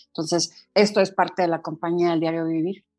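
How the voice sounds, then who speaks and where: A woman's voice: fairly low pitch, flat delivery, fairly quick, mellow. A woman guest in a casual podcast chat over a video call, talking in a friendly, hesitant way with fillers.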